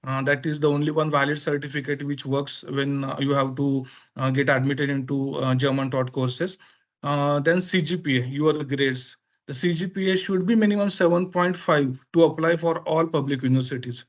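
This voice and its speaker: A man with an Indian accent, a native Marathi speaker, explaining things informally as a webinar presenter in halting, filler-heavy phrases. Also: a little high, fairly even intonation. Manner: halting, hesitant phrasing with frequent filler pauses. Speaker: education consultant presenting a webinar.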